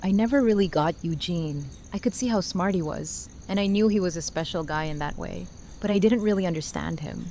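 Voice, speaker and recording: A woman's voice: on the low side, fairly quick, dark tone, slight background noise, natural voice. A woman playing a frank, heartfelt friend in an audio drama, speaking plainly and earnestly. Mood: frank, fond. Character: Rosita, adult woman friend giving heartfelt, frank wedding toast. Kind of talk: fan audio drama acting.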